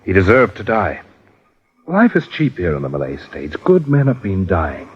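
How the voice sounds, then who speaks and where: An actor playing a guilt-ridden, desperate estate owner who has killed, in an old radio drama. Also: male voice, low voice, wildly swooping pitch, moderate pace, breathy edge, exaggerated voice. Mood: grim, resigned. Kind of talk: 1950s radio drama acting. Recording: muffled old radio recording.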